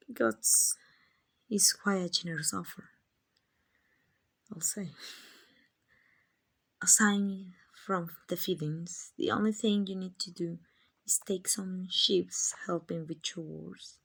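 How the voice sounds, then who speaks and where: A woman acting as a kind, gentle female vampire who owns a mansion, in a hushed, breathy, reassuring ASMR roleplay. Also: on the low side, slow pace, pauses often, exaggerated voice. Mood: calm, explaining. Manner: hushed, breathy, tender and reassuring, often near whispering.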